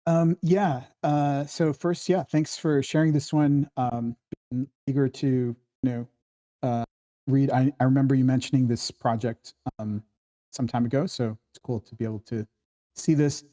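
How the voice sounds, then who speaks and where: A participant giving casual, halting feedback in a group discussion. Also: medium pitch, steady tone, warm tone.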